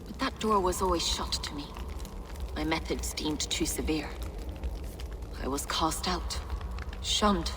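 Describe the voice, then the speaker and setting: A slow, echoing zealot abbess. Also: female voice, deep voice. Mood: solemn fervor. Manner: solemn, fervent, measured oratory. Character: dying zealous abbess, grand, severe and unrepentant. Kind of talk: video game dramatic acting. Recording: long cavernous reverb, clear background noise.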